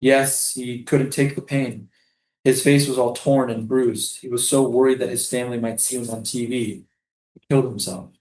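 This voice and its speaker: Male prisoner, played by a man at a stage reading: dreamy, poetic, defiant refugee, medium pitch, roomy echo. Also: flat delivery, normal speed, a little mellow, lightly acted. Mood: wistful.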